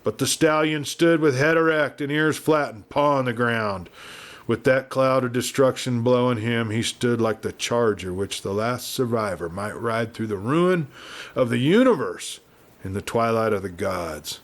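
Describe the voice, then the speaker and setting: Male audiobook narrator, medium pitch, dramatic Western storytelling, theatrical scripted read. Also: measured pace.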